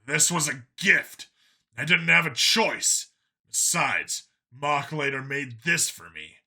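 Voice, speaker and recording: A man plays a stubborn, gruff cop in an audiobook story. His delivery is dry and deliberate, with a very tense, hard-edged tone and lively swings in pitch. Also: full character voice. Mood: defensive. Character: gruff, stubborn human cop and urban gunfighter, male. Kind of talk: acted audiobook dialogue.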